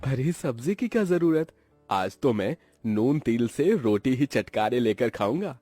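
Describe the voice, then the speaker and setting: A man's voice: medium pitch, big pitch swings, measured pace, warm tone, no echo, soft background music. A man voicing a poor, devoted uncle in a cartoon story, earnest and pious while hiding his sorrows. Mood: falsely cheerful. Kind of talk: Hindi cartoon story dialogue.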